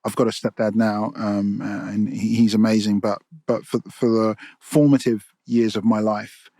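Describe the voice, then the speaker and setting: A man's voice: on the low side, level tone, moderate pace, mellow, no echo, studio quality. A man, a poet and storyteller, speaking as a guest in a casual podcast interview, earnest and often restarting his phrases as he thinks. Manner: earnest, restarts and repeats words while thinking.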